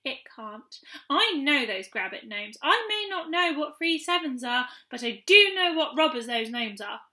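A woman's voice: high-pitched, very animated, a little slow, sharp tone, full character voice. A woman voicing a merry, obliging little male pixie in a storybook, speaking earnestly. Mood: insistent.

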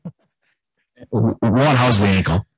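A man with a husky voice, putting conversational questions as a sports reporter. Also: lowish voice, unhurried, no echo, low-quality recording.

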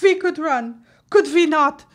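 An actress playing a frightened, devoted wife in a period audio drama, earnest and imploring in formal speech. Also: female voice, high voice, a little slow. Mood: desperate pleading.

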